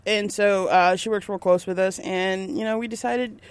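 A woman's voice: mid-range voice, steady tone, moderate pace, clear, resonant tone. A young woman radio DJ hosting a podcast in casual chat, bubbly and talkative.

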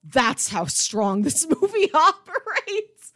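A woman's voice: sits high, sing-song, slow, bright, forceful tone, dry recording, clean studio sound. A woman hosting a podcast, talking casually and enthusiastically about film with emphatic delivery. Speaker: podcast host.

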